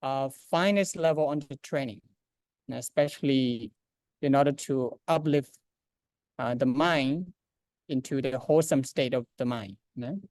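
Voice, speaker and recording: A man introducing a monastic class, speaking haltingly with many fillers. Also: mid-range voice, darkish tone, no room sound. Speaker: class organizer. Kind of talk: hesitant spoken introduction in English.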